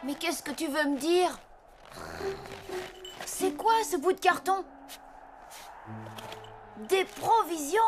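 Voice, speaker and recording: A woman's voice: extremely high pitch, slow pace, pauses often. A woman playing an earnest, clever young boy in a French children's cartoon. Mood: curious, excited. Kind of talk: French animated cartoon dub. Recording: loud music behind the voice.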